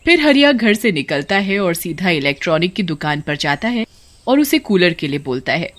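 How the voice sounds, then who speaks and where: A woman's voice: fairly low pitch, big pitch swings, moderate pace, a bit bright. A woman reading scripted narration for a story.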